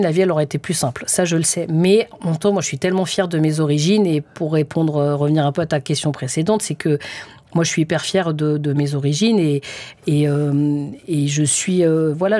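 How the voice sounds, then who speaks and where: A woman's voice: low voice, darkish tone, no room sound. A woman in her forties from France, a guest conductor answering interview questions in an earnest, hesitant way with many fillers. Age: 40s. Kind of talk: casual interview talk.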